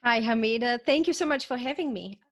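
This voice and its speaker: A woman from Croatia, an author appearing as a podcast guest, telling her story in an eager, quick way. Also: fairly high pitch. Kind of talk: interview conversation.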